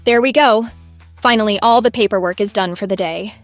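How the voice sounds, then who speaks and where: A woman acts a sensible, overworked cartoon analyst. The voice sits at a middle pitch, matter-of-fact with an exasperated edge. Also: a little fast, no room sound, faint music behind, lightly acted. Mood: exasperated, dry. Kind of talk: animated comedy voice acting.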